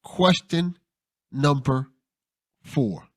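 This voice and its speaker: A man's voice: fairly high pitch, very slow, no room sound. A man hosting a casual podcast interview, with a playful dramatic delivery when announcing questions and an easy laugh. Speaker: podcast host.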